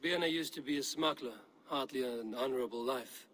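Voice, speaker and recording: An actor playing a stern Viking warrior in a video game, questioning people in a measured, grave way. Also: male voice, mid-range voice, fairly bright tone, a little hiss or hum, lightly acted. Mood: grave, reporting. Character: stern probing Viking warrior investigating a betrayal. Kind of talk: video game cutscene dialogue.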